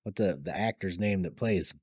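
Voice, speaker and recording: A man's voice: low-pitched, normal speed, a little mellow, husky, no room sound, studio quality. A man co-hosting a podcast in casual chat, playful and prone to quoting scenes. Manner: playful, quotes scenes in goofy voices. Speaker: podcast co-host.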